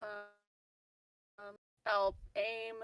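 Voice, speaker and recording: A woman's voice: medium pitch, flat delivery, very slow, fairly bright tone, clear, resonant tone, dry recording, distant mic. A woman playing a tabletop game who talks brashly and swears freely. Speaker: player. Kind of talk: casual chat at the game table.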